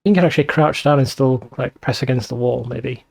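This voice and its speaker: A man's voice: medium pitch, brisk, creaky, darkish tone, slight background noise. A man hosting a let's play, giving casual game commentary with wry, sweary asides.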